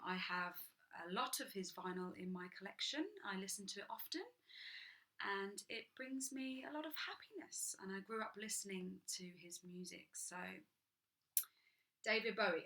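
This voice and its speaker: A woman reading a picture book aloud to children, sing-song and animated, dropping into whispers for little asides. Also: mid-range voice, moderate pace. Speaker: children's storyteller.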